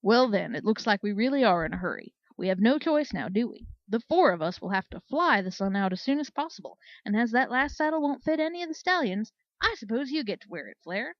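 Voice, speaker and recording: A woman voicing a dry-humored male pegasus commander in a storybook, firm, deliberate and teasing. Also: fairly high pitch, big pitch swings, no room sound, muffled recording. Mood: amused, teasing.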